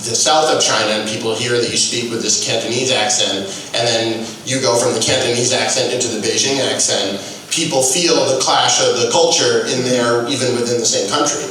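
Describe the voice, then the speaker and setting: Animated male comedian in an echoey hall. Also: medium pitch, a little fast. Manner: animated storytelling, laughs through jokes. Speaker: stand-up comedian. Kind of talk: panel discussion. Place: large echoey event hall. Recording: echoey hall from far off, background noise.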